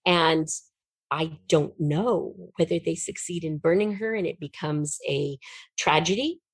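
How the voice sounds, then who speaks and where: A woman's voice: sits low, measured pace, breathy edge, no room sound. A woman speaking as an interview guest and writer, explaining in a conversational storytelling way and voicing questions and thoughts aloud. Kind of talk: animated conversational interview, explaining own method.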